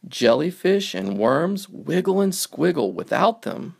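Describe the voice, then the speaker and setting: A man narrating a picture book for young children, reading it aloud in simple, deliberate phrases. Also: fairly high pitch, sing-song, gravelly, darkish tone, breathy edge.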